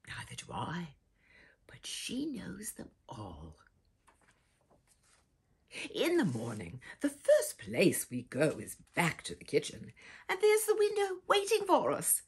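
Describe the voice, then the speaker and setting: A woman in her sixties reading a children's picture book aloud theatrically, dramatic and expressive, dropping into breathy whispers. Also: medium pitch, takes it slowly, pauses often. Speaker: storyteller, host. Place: small furnished room indoors with bookshelves.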